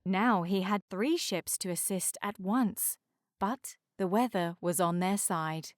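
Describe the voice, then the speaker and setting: A woman storyteller reads a children's book aloud with measured, careful articulation. Her pitch sits in the middle range and the recording is close and dry. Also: dry recording.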